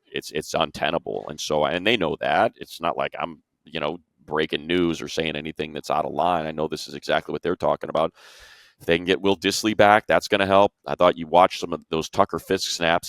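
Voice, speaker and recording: A man's voice: rumbling low, vocal fry, fairly bright tone, no echo. An American man giving casual sports analysis in an emphatic way, repeating himself for stress. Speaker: sports show analyst, play-by-play announcer.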